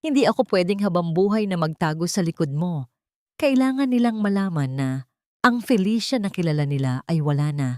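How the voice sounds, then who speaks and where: A woman voicing a wounded young pregnant wife in an audio drama, soft but cold, resolute and final. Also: fairly low pitch, animated, a little fast, no room sound, clean studio sound, natural voice. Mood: quiet, resolute.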